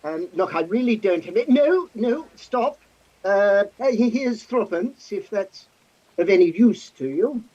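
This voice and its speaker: A polite, flustered gentleman of the stage, played by a woman, with a husky voice and lively, swinging intonation. He is hesitant and fussily proper, and the recording is a little muffled. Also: mid-range voice, measured pace. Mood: flustered, apologetic. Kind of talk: theatrical staged play reading.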